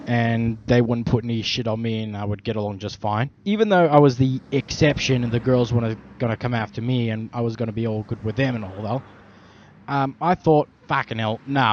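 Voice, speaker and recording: A man's voice: mid-range voice, a little mellow. Young man, Australian accent, swears constantly, slangy and rambling, dull lo-fi recording, faint traffic hum. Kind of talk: rambling in-character monologue.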